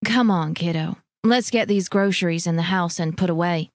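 A gentle, composed mother, played by a female storyteller. She speaks quietly and politely, never raising her voice, in a dry, close recording. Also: lowish voice, moderate pace, no echo, natural voice. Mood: casual.

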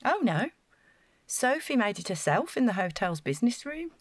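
A woman's voice: fairly high pitch, very animated, normal speed, no room sound, clean studio sound. An actress playing an earnest, naive council housing officer in an audio drama, chatty and flustered as she over-explains. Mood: eager, naive.

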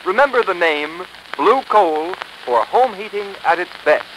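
A man's voice: high voice, animated, a little slow, fairly bright tone. A man announcing in dramatic, emphatic 1930s radio style. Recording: noisy 1930s radio recording.

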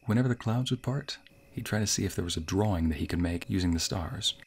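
A man acting a sweet, bashful young waiter on a romantic date in an ASMR roleplay, with a soft, breathy, playful and hesitant delivery. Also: low-pitched, fast talker, slight background noise. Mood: warm, bashful. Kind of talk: ASMR roleplay, intimate scripted boyfriend monologue.

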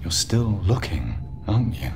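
Sci-fi game corporate executive: lowish voice, polite, earnest, restrained, clear music behind. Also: male voice, very animated, unhurried. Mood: gentle, tactful. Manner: careful, tactful, restrained. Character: polite, earnest company executive in science fiction. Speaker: company executive. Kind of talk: cinematic game dialogue.

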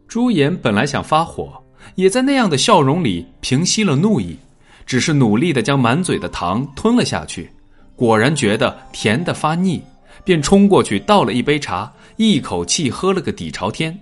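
A man narrating a scripted dramatic story in an expressive storytelling manner. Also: medium pitch, normal speed, faint music behind. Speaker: audiobook narrator.

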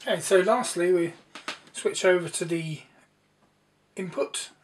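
A man's voice: high-pitched, measured pace. A man giving a casual spoken demonstration, thinking aloud in an informal, hesitant way. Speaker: presenter demonstrating a homemade valve radio. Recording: distant mic with background hiss and noise.

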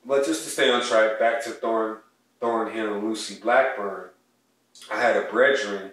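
A young man with a lowish voice tells heartfelt personal stories in a relaxed home chat. He sounds set back from the mic, and the room around him rings with echo. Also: measured pace, distant mic. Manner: earnest, heartfelt, speaks of his soul and ancestors. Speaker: podcast co-host. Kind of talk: casual conversational storytelling. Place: home living room, indoors. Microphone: distant mic on a stand, wide camera. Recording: echoey room audio picked up from afar.

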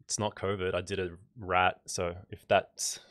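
Low-voiced congested man, dry close mic. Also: level tone, unhurried, a bit bright, no room sound. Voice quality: slightly nasal, congested. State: congested from a cold, scratchy throat. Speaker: photography channel host. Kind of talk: casual livestream book review. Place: small home room, indoors. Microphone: large microphone close on a boom arm.

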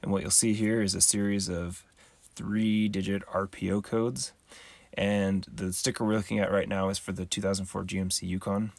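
A man, the host, giving a calm, methodical voice-over tutorial on a close mic. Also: deep voice, creaky, no room sound. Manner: calm, methodical, measured explaining. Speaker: channel host.

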